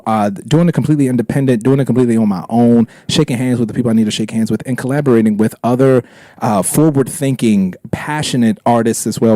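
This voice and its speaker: Casting: male guest performer, relaxed dark tone, informal rhythmic hype, dry recording. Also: mid-range voice, no echo. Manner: slangy, emphatic, rhythmic repetition. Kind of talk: casual self-promotion.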